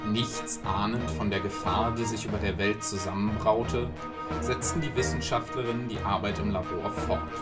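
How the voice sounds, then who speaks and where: A man's voice: on the low side, fairly even intonation, normal speed, over loud music. A man reading scripted narration for an educational science film, explaining and storytelling as he goes.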